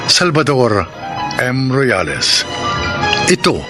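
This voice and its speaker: A Filipino man announces a radio drama in a solemn, dramatic style. His delivery is slow and strongly varied in pitch, with prominent music behind. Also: very animated. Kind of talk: scripted radio drama narration and credits.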